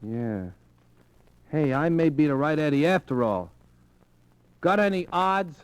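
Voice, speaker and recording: A wisecracking American office clerk in an old radio thriller. His voice is a little high and moves in lively swings. The delivery is wry, slangy and cagey. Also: measured pace, smooth, dry recording, low-quality recording. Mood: eager, cagey. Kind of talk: old-time radio drama acting.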